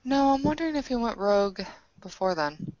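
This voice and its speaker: A woman playing a tabletop roleplaying game in character, casual, blunt and skeptical, with halting phrasing. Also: on the high side, sing-song, a little mellow, no room sound. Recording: dry but dull, lo-fi microphone.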